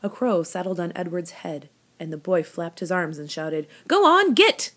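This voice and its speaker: A woman reading a children's novel aloud as expressive scripted narration. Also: on the low side, normal speed. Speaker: storybook reader, school staff member.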